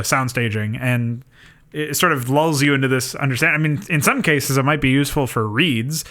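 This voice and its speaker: Bright-voiced man explaining casually. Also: mid-range voice. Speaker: podcast co-host. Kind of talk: casual analytical discussion.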